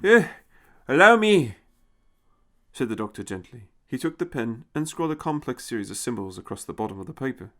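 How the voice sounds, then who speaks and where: A male narrator reads a dramatic story in a scripted style. His mid-pitched voice is lively in its movement, and music plays behind him. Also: fast, few pauses, a little hiss or hum.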